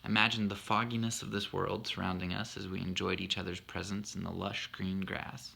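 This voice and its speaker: A man's voice: deep voice, flat delivery, fairly quick, vocal fry, bright, forceful tone, husky. A man in his thirties explaining a poem he wrote for his wife, earnest and thoughtful with a self-deprecating humor. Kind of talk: reflective spoken monologue.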